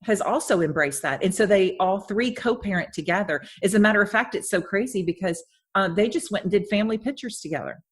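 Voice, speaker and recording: A woman's voice: medium pitch, brisk, breathy edge. A woman in her fifties hosting a podcast interview, chatting in a rambling, friendly way with frequent restarts and easy laughter. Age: 50s. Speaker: podcast host. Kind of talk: casual interview chat.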